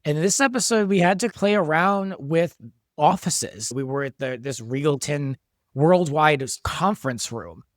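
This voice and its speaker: A man's voice: on the high side, animated, unhurried, no room sound, studio quality. A man speaking as a creator and podcast guest, explaining his work conversationally in a casual discussion. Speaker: audio drama creator and guest.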